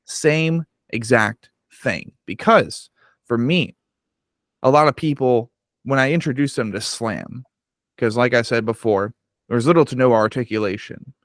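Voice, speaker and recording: A man's voice: mid-range voice, measured pace, no room sound, clean studio sound. A man hosting a podcast, talking animatedly and swearing freely as he rambles through his points. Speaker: podcast host. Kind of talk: casual interview chat.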